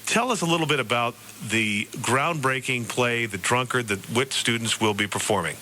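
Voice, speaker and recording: American man, radio host role. Very crisp-edged, punchy delivery, slightly halting questions, faint hum on the recording. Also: medium pitch, pushed and bright. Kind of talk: radio interview.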